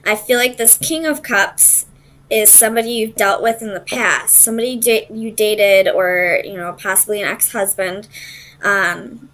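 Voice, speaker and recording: A woman with a medium-pitched voice reads tarot in an informal way. She addresses the listener directly, as a host would. Her phrasing is loose and tentative, as if she is working out each thought aloud. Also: a bit bright, rough recording.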